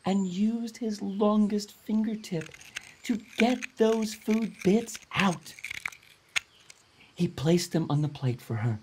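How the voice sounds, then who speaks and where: A man giving a theatrical, expressive read-aloud of a rhyming children's story. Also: high voice, takes it slowly, a little hiss or hum. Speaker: storybook reader.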